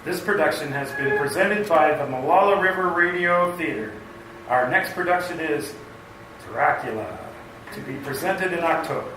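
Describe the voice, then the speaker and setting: A man's voice: a little high, lively intonation, normal speed. A man announcing credits in old-time radio style, recorded in a large echoey room. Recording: echoey stage, distant, loud hiss.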